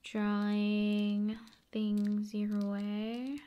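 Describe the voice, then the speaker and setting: A woman hosting an ASMR video, talking in an unhurried, hushed, conversational way that moves between soft speech and whispering. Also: medium pitch, monotone, highs cut off.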